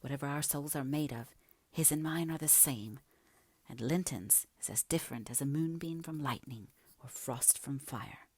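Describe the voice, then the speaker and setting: Woman playing a passionate, headstrong young gentlewoman. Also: very deep voice, moderate pace, breathy edge, no room sound. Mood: wistful, confiding. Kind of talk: dramatic audiobook character reading.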